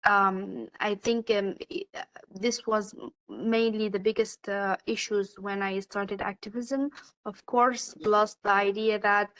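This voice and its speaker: Sudanese woman panelist, Arabic native, steady monotone, halting delivery. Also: mid-range voice, rough recording. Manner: hesitant, many fillers and restarts. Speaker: youth climate activist, panelist. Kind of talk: panel discussion.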